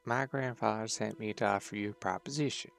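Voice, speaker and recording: Man playing a gravelly sly criminal dragon envoy. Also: lowish voice, fairly quick. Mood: persuasive. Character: sly young male outlaw dragon envoy, insinuating. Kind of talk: audio drama acting. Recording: background music under the voice.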